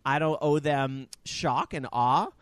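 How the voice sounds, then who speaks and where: A man co-hosting a casual podcast, telling profane, animated stories. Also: fairly high pitch, slow pace, clear, resonant tone, dry recording. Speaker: podcast co-host. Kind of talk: casual chat.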